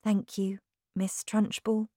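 Woman doing a nervous sweet teacher, high and pleading, kinda shaky. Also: big pitch swings, slow pace, no echo, clean studio sound, exaggerated voice. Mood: timid. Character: timid, kind young female schoolteacher.

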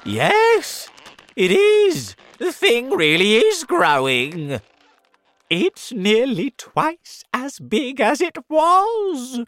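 A reader voicing a shrill, spiteful, bossy old aunt in a storybook reading, shrieking high and loud. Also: huge pitch swings, extremely slow, few pauses, no room sound, full character voice. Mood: shrill, excited.

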